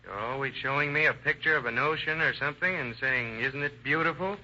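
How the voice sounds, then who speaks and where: A man's voice: mid-range voice, fairly quick, pushed and bright. An actor playing a tired, grumbling American working man, blunt and plain-spoken, in an old-time radio drama. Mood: irritated. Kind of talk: 1940s radio drama acting. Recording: dull, noisy vintage radio recording.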